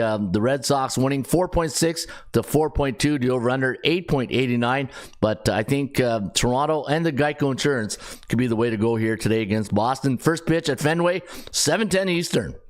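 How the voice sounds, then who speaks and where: Raspy-voiced man talking betting odds. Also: medium pitch. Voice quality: chatty, rambling with fillers and self-corrections. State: raspy, strained voice. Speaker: sports betting podcast host. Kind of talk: casual sports betting rundown.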